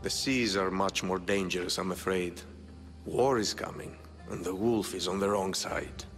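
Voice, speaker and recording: Slick rich schemer in a video game, lowish voice, cool and measured, echoey with some music under. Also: male voice, fairly even intonation, a bit bright. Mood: coolly amused. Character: smooth-talking, calculating rich male patron hiring mercenaries. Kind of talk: video game cutscene acting. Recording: long reverb, background music.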